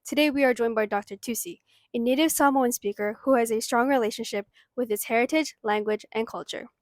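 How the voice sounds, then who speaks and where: Woman host zipping through a scripted intro, upbeat, higher voice. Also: brisk, no echo, clean studio sound.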